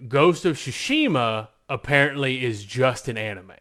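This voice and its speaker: Bright, forceful American man chatting. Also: mid-range voice, expressive, normal speed. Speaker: podcast co-host. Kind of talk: casual enthusiastic pop-culture podcast chat.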